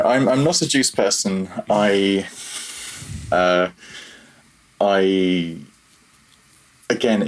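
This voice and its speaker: A man chatting casually as a podcast guest, self-deprecating and a little hesitant in how he phrases things. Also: sits low. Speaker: podcast guest, freelance audio programmer. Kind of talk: casual interview chat.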